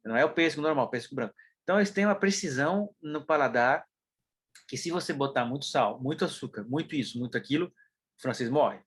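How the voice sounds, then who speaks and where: A Brazilian man with a southern Brazilian accent, a guest telling stories casually in an interview, lively and full of fillers. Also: mid-range voice, fast talker, slightly rough. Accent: southern Brazilian Portuguese. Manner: animated storyteller, mimics people, many fillers like né. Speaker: interview guest, business consultant.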